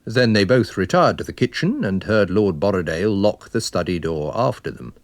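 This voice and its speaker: A man's voice: on the low side, lively intonation, moderate pace, dry recording. A man playing a methodical police inspector who sets out facts in a matter-of-fact, explanatory way in a radio mystery.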